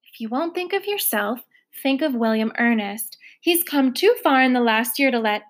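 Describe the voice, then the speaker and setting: A woman's voice: fairly high pitch, animated, moderate pace, lightly acted. A woman voicing a firm, businesslike female social caseworker in a storybook reading. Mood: persuasive.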